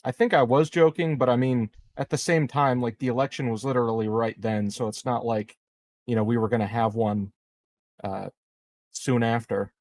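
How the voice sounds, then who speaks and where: A man's voice: medium pitch, fairly even intonation, moderate pace, a little mellow, no echo, clean studio sound. A man playing a tabletop roleplaying game, chatting casually with the group.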